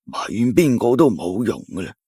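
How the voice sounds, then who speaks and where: A man voicing a stern old male village elder who scolds gravely, in a husky voice with a Cantonese accent. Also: lowish voice, very animated, normal speed, mellow, dry recording, studio quality, heavily acted.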